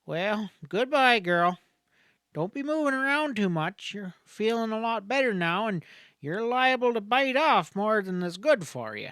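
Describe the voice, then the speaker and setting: A man's voice: high-pitched, big pitch swings, measured pace, bright, forceful tone, clean tone, dry recording, studio quality, pitched above the performer's own voice, heavily acted. A man voicing a wry, cynical, gallant frontier doctor with a folksy, talkative manner in a Western audiobook. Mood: gallant, breezy. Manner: folksy, drawling, eloquent.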